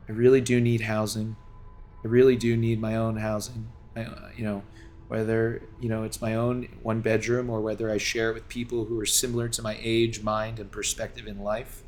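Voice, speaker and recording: A man's voice: fairly low pitch, darkish tone, slight background noise. A game streamer from Los Angeles talks to his viewers in a long, earnest, rambling monologue full of restarts and fillers, speaking from home.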